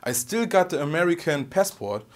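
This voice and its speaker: A man in his thirties with a heavy German accent, who grew up in Germany, talking casually and haltingly as the host of a personal Q&A video. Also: a little high, animated. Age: 30s. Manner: soft-spoken, halting, tags sentences with "okay?". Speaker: guitar channel host.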